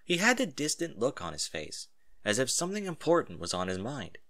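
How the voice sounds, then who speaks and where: Animated man reading a story aloud. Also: mid-range voice, fairly quick, dry recording, studio quality. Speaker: audiobook narrator. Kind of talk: first-person story narration.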